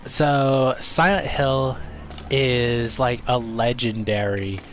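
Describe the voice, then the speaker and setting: A man's voice: medium pitch, takes it slowly, a little hiss or hum. A man streaming a video game, chatting casually and giving his opinions, with a stuffy, congested voice. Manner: relaxed, opinionated, chatty with viewers. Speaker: gaming streamer.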